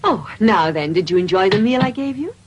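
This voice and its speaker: A woman plays a motherly, kindly cartoon lady with expressive intonation. She speaks in a generous, coaxing way, on an old dubbed soundtrack with slight noise. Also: mid-range voice, a little fast, muffled recording, lightly acted.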